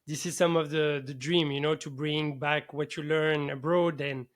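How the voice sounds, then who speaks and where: A man's voice: fairly high pitch, steady tone, normal speed. A man hosting a show in a casual, enthusiastic interview style. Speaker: podcast host.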